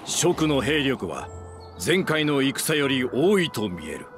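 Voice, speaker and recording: Slow, steadfast army general. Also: male voice, medium pitch, big pitch swings. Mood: grave. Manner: formal, firm, deliberate. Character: steadfast, earnest, dutiful male general. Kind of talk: dramatic Japanese video game cutscene acting. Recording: studio voice over loud game music.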